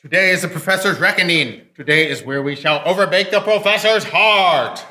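Shrill, frantic alien robot admiral. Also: male voice, moderate pace, bright, forceful tone. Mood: menacing. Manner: barking, repetitive, bombastic commands. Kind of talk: live old-time radio sketch acting. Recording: roomy live stage sound.

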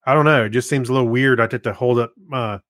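A male podcast co-host with a medium-pitched voice, captured dry. He chats casually and explains things loosely, in a laid-back and tentative manner. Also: fast, fairly bright tone, dry recording. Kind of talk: casual chat.

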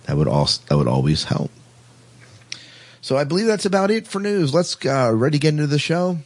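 A man's voice: on the high side, very animated, brisk, darkish tone. A man hosting a podcast, chatty and rambling, reading out news items in a casual, self-deprecating way. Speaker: podcast host.

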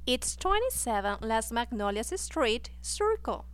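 A woman's voice: fairly high pitch, sing-song, unhurried, smooth. A woman in her twenties from Peru, a native Spanish speaker, answering practice interview questions in careful English. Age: 20s.

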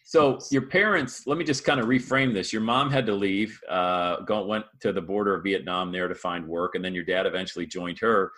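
Fired-up man with a forceful, punchy voice. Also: low voice, fairly even intonation, fairly quick, few pauses. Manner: hyped, pumped-up, shouty catchphrases. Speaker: podcast host. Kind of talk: high-energy motivational podcast interview. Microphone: video call.

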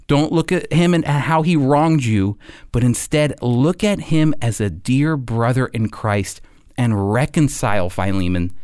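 Man preaching on radio, lively and close-miked. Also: medium pitch, no room sound. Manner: earnest, deliberate, emphatic phrasing. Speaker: radio Bible teacher and host. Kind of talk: scripted radio sermon and narration.

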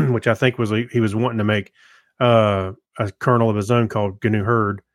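Halting man chatting casually, dry sound. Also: on the low side, level tone, no echo. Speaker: podcast co-host. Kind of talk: casual tech chat.

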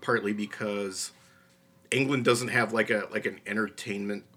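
Middle aged guy podcast host, bright forceful voice, kinda halting, just chatting. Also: fairly low pitch, fairly even intonation, husky. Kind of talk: casual chat.

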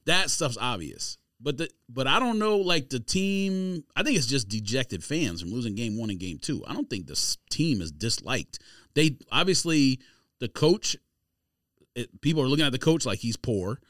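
Male podcast co-host, very lively pitch, argumentative and slangy, casual sports talk in a dry room. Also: normal speed, dry recording.

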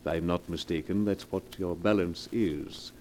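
An actor playing a smooth, mockingly polite blackmailer in a taunting 1940s radio melodrama style. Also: male voice, deep voice, steady tone, normal speed, darkish tone, lightly acted. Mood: smug, taunting. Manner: suave, taunting, mock-courteous. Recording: noisy vintage 1940s radio recording.

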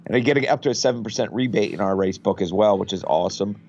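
Raspy-voiced man with a head cold. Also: on the low side, fast talker, muffled recording, a little hiss or hum. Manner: relaxed, rambling, many fillers. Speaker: guest from a betting site, marketing. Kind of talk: casual podcast interview.

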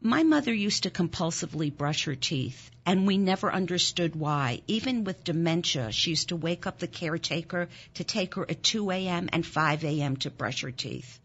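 A woman in her sixties, born in Germany with German as her first language, speaks low and earnestly as an interview guest. Her telling is intense and climbs high when the feeling takes over. The sound is a little dull and muffled. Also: animated, moderate pace, few pauses, fairly bright tone, husky. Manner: earnest, intense, rises sharply when moved. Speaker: interview guest, nonprofit founder. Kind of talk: emotional personal storytelling in an interview. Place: Philadelphia.

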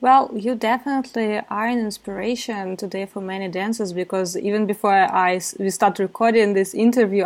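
Polite woman hosting a podcast interview. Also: mid-range voice. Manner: polite, encouraging, with filler pauses. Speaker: podcast host.

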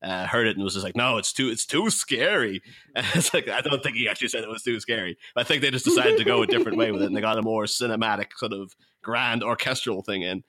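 A man's voice: fairly low pitch, quick pace, bright, forceful tone. A man talking casually about films as a podcast guest, explaining things in a rambling, conversational way. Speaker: podcast guest, co-host of a film podcast. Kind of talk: casual film discussion.

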